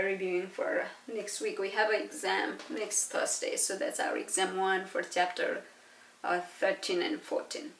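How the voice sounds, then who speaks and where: A woman with a Nepali accent teaches in a conversational, questioning way, as a lecturer would. Her voice sits fairly high. The recording is very roomy and picked up a little way from the microphone. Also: fairly bright tone. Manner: conversational, explains with questions and frequent checking tags. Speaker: physics lecturer. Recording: echoey room, distant mic.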